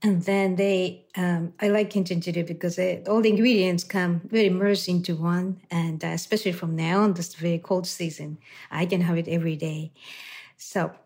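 A woman with a slightly low voice hosts an interview in a loose, conversational way. She strings her questions together with easy, talkative phrasing. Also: fairly even intonation, moderate pace, a little mellow. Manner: chatty, with fillers and restarts. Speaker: interview host.

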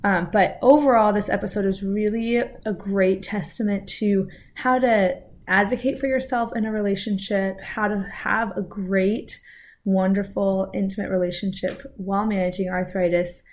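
Woman reading a trigger warning, earnest, mid pitch. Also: fairly even intonation, moderate pace. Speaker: episode introducer. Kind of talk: content warning and episode preview.

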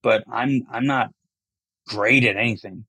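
A man talks candidly as an interview guest in a medium-pitched voice. He is plain-spoken and drops swear words casually. The sound is dry, with very little room in it. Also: fairly even intonation, unhurried, pushed and bright, slightly rough, no room sound. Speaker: podcast guest, cannabis entrepreneur. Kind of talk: candid conversational interview answers.

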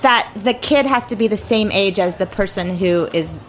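A woman's voice: medium pitch, normal speed, faint music behind. A woman chatting casually and emphatically as a guest on a talk panel. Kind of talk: casual chat. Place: studio. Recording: clear background ambient noise.

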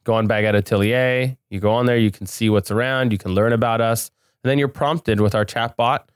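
A man's voice: medium pitch, steady tone, fairly quick, smooth, no echo, clean studio sound. A man speaking as an interview guest in a casual, informal and enthusiastic way. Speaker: podcast guest, business owner.

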